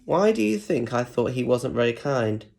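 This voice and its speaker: A man's voice: mid-range voice, very animated, normal speed, creaky, darkish tone. A man reading a children's story aloud expressively, with chatty asides and questions to young listeners about the pictures.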